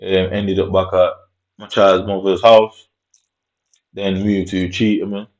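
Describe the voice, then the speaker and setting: A man's voice: low-pitched, flat delivery, a little slow. A man telling a story in a casual monologue, in streetwise slang, swearing and tagging lines with "innit". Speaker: vlogger. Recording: dull lo-fi recording.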